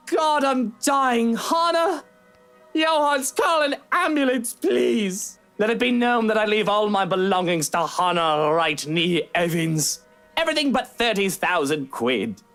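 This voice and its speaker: A woman voicing a whiny, hungover young gentleman who theatrically hams up his suffering in a visual novel game. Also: on the high side, voice pushed up, heavily acted. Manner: theatrical wailing, drawn-out.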